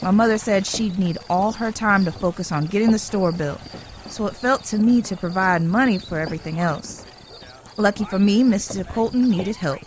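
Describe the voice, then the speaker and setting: A woman plays a young frontier townswoman in an audio drama. She is polite and a little coy, with a medium-pitched voice and genteel, measured delivery. Crickets and outdoor noise are audible behind her. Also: natural voice. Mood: earnest, matter-of-fact.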